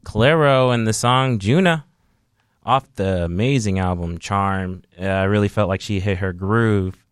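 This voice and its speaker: A man hosts a casual radio show, his low voice very smooth and resonant. He rambles easily, leaning on fillers like "um" and "kinda". The sound is dry and close. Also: unhurried, dry recording. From Haitian descent. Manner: relaxed, rambling with many fillers.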